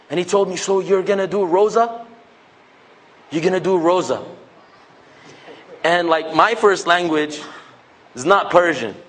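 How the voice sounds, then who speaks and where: A man's voice: fairly high pitch, a bit bright. A man, giving a casual, joking religious talk to young people and acting out bits of dialogue. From United States. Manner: conversational, joking, acts out quoted dialogue.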